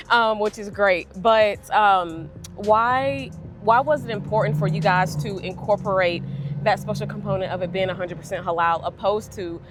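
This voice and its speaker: A woman's voice: mid-range voice, normal speed, music in the background. A woman hosting a food review show, interviewing a guest in an upbeat, affirming manner with frequent "um".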